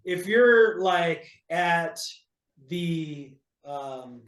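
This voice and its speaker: A man's voice: fairly high pitch, expressive, drawn-out words, a bit bright, roomy sound, low-quality recording. A man from Hawaii, a real estate agent, talking casually as an interview guest, with many fillers and restarts.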